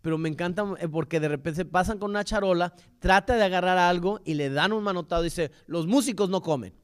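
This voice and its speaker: A man's voice: high voice, fast talker, clear, resonant tone. A man with a Mexican accent giving an informal history lecture, rambling and often repeating or correcting his words.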